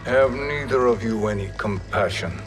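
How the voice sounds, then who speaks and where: A man's voice: medium pitch, moderate pace, lightly acted. A man playing a sly, amused sorcerer villain in a video game, with a mocking, theatrical delivery. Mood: mock sorrow. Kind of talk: video game cutscene acting. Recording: loud music behind the voice.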